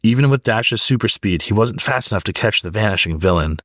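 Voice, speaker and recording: Low-voiced man reading a children's story. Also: lively intonation, brisk, a bit bright, no room sound, clean studio sound. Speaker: storybook reader. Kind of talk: children's story read-aloud.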